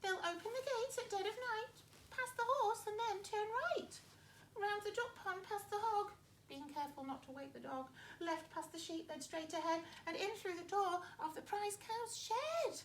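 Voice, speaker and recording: A woman putting on a tiny high-voiced ladybird. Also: moderate pace, roomy sound, slight background noise. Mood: urgent. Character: tiny quiet female ladybird, urgent warner.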